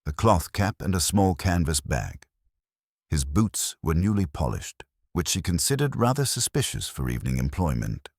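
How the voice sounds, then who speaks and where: A man's voice: a bass voice, sing-song, normal speed, darkish tone, slightly rough, no room sound, studio quality, full character voice. A man voicing a dutiful police sergeant in a mystery story, reporting deferentially and matter-of-factly. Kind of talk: storybook mystery character dialogue.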